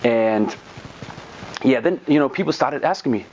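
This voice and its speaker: A Danish man with a Danish accent talks in English as a guest in a relaxed interview, his voice at an ordinary middle pitch. He keeps repeating words as he goes and ends his sentences with "right?". The recording is dull and lo-fi. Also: normal speed, slight background noise. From Denmark. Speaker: guest, online business teacher. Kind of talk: casual interview storytelling. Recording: dull lo-fi sound.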